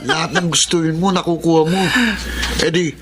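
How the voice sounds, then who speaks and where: A man's voice: on the high side, flat delivery, moderate pace, heavy background noise, lightly acted. A man playing a chummy accomplice who laughs along at a meal in a radio drama. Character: gleeful accomplice friend at a meal.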